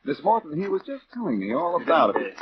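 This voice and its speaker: An actor playing a breezy, self-assured, wisecracking detective in 1940s radio style. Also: male voice, a little high, sing-song, fast talker. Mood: brisk, confident. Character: wisecracking confident amateur detective, adult man. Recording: muffled, noisy old radio recording.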